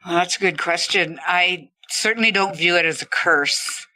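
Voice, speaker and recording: Bright-voiced woman, low-pitched. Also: moderate pace, dry recording, low-quality recording. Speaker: podcast guest. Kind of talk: reflective interview talk.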